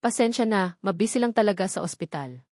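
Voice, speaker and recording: A woman's voice: mid-range voice, lively intonation, fast talker, a little mellow, dry recording, studio quality, close to an everyday voice. A woman voicing a polite, conflicted young female doctor in a story. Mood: polite, weary. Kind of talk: storybook character dialogue.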